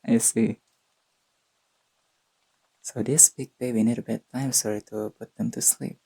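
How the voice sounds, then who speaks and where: A performer voicing a gentle, teasing young boyfriend in an intimate sleep-aid roleplay, speaking softly and tenderly with a breathy, slightly husky voice. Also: mid-range voice, fairly even intonation, unhurried, rough recording. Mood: playful teasing. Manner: tender, playful, murmured delivery.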